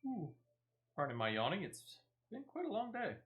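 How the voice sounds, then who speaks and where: A man's voice: sits high, wildly swooping pitch, normal speed, fairly bright tone. A man giving casual, playful gameplay commentary as he streams. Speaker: game streamer. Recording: music playing behind the voice. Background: game music.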